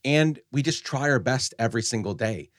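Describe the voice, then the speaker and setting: A man from Guatemala hosting an interview, speaking earnestly and reflectively with frequent halting restarts. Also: medium pitch, expressive, fairly bright tone, no echo, clean studio sound. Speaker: podcast host.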